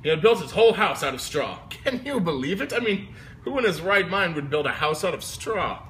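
A man's voice: sits high, very animated, brisk, a bit bright, pitched above the performer's own voice. A man reading as a chatty, aggrieved storybook wolf who pleads innocence, in a folksy, emphatic way. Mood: mock innocent. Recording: noisy amateur phone video recording.

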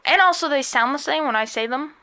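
A woman's voice: high voice, animated, fast, pushed and bright. A young woman vlogger with a lisp, chatting casually and rambling in a small bedroom, filmed on a close camera. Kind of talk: casual chat.

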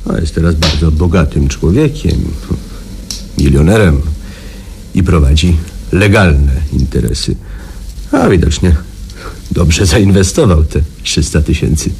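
A low male voice as a hardened backwoods guide in a radio play. He is a vengeful ex-robber who speaks deliberately and haltingly. There is some noise on the old recording. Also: expressive, gravelly, darkish tone, exaggerated voice. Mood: quiet bitterness. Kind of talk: Polish radio drama acting.

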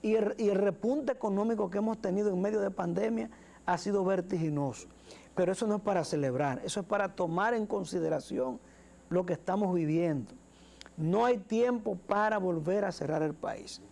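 A man's voice: high voice, moderate pace, gravelly, a little mellow. A man in his forties with a Dominican accent, co-hosting a studio talk show with earnest commentary. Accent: Dominican Spanish. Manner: earnest, gestures while speaking, repeats words. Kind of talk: political commentary. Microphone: lapel mic.

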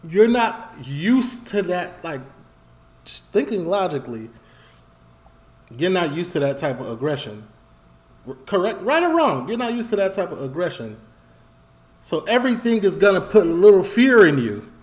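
A man talks a bit high for a man, working his thoughts out loud as he goes. He circles back, repeats phrases and gets animated. It sounds like a small room at home on a desk mic. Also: normal speed, pauses often. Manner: animated, rambling, repeats phrases, gestures while talking. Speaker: reaction video host. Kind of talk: casual commentary.

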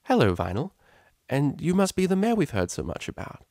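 A storybook mare of mature years voiced by a man, kindly and motherly. The voice sits high and speaks gently, with an easygoing friendliness, in a dry close recording. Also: wildly swooping pitch, brisk, dry recording. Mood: friendly, easygoing. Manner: gentle, friendly, affectionate address. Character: kindly older female pony art teacher, easygoing, motherly.

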